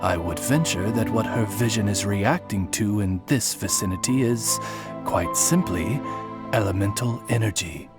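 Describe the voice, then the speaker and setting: A man voicing a courteous male raven who serves as a formal butler in a video game, measured and polite. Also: lowish voice, over loud music, close to an everyday voice.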